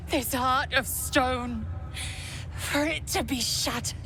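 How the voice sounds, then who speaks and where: A woman's voice: high voice, takes it slowly, background noise. A woman playing a fierce, proud, devout alien warrior in a video game, speaking intensely in solemn, archaic phrases. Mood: anguished fury. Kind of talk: dramatic video game acting. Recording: loud music behind the voice. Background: loud music.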